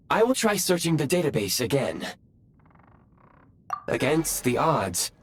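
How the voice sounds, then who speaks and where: A man's voice: a little high, breathy edge. A man voicing a self-aware pair of talking goggles in a video game, speaking formally and precisely.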